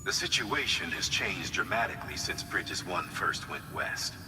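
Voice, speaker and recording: An actor playing a pragmatic mission commander in a video game, matter-of-fact and measured. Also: male voice, sits low, animated, background noise. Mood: businesslike. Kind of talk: video game cutscene acting. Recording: long hall reverb with music behind.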